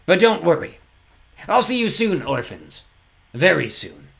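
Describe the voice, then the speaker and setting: A man's voice: high-pitched, sing-song, measured pace, gravelly, fairly bright tone, voice pushed up, full character voice. A man playing a gloating, growling villain. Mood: taunting. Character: menacing bragging male villain, sneering.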